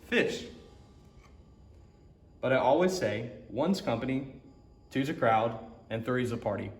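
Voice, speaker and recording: A man's voice: mid-range voice, lively intonation, unhurried. A man reading a picture book aloud for a story time, alternating single words with short sayings. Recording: echoey room with music.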